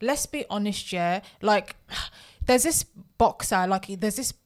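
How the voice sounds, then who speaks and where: A woman's voice: medium pitch, lively intonation, slow. Young woman, podcast co-host, talks over people, keeps saying "like". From Birmingham, England. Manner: insistent, talks over others, repeats "like". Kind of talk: casual debate.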